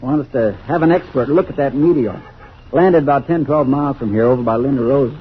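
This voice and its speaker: A man acting in a radio drama as a folksy, eager fire lookout, husky and plain-spoken with a hesitant, homespun manner. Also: medium pitch, brisk, a little hiss or hum, natural voice. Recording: dull old radio drama recording.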